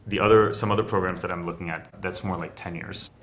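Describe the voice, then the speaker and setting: A man's voice: sits low, steady tone, fast, fairly bright tone. A middle-aged man from France, a founder on a video call, explaining a long process step by step with restarts. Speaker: biotech founder and guest. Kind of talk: casual video interview.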